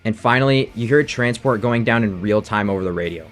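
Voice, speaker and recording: A man's voice: lowish voice, quick pace, background noise. A man reading a scripted, analytical video essay narration about a game.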